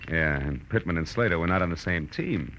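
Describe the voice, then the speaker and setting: An American actor playing a wry, world-weary private detective in old radio drama style, talking in a deliberate, conversational way. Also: male voice, deep voice, lively intonation, fairly bright tone, a little hiss or hum. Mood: explaining. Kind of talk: 1940s-50s radio drama acting and first-person narration. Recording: muffled old radio broadcast with music.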